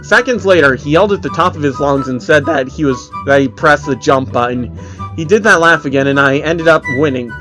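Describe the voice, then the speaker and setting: Higher-voiced man reading mockingly over music. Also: fairly quick. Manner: amused, exaggerated, often breaking character. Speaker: narrator of online horror stories. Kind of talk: mocking dramatic reading of a creepypasta. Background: faint music.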